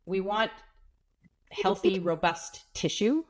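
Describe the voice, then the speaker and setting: A woman's voice: medium pitch, slow pace. A woman guest with a stuffy, runny nose from a cold talks in an ordinary middle-range voice. She explains practical points plainly and without embarrassment, in an easy interview style. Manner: practical, explanatory, plain-spoken about the body. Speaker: pelvic health expert guest.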